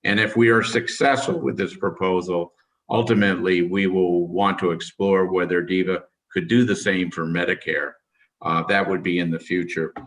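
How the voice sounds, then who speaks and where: A male government official delivers a formal briefing in a deep voice with a forceful, bright edge. He speaks haltingly and hesitantly, and his voice sounds like it is starting to go. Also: far from the mic, low-quality recording. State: losing his voice. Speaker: government official giving testimony.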